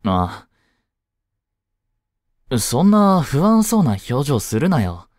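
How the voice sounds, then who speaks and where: A man's voice: medium pitch, very animated, no room sound. Man playing an easygoing teenage boy, swooping intonation. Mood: tender, teasing. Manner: casual, gently reassuring, slow with pauses. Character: dense, easygoing teenage boy, caring childhood friend. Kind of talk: Japanese romantic audio drama addressed to the listener.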